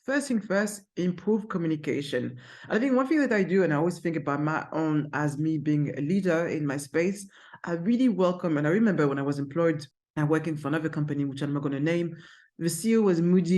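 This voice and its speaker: A middle-aged agency founder with a strong French accent, a native French speaker, giving energetic, emphatic business advice to camera from an office, with frequent restarts. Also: mid-range voice.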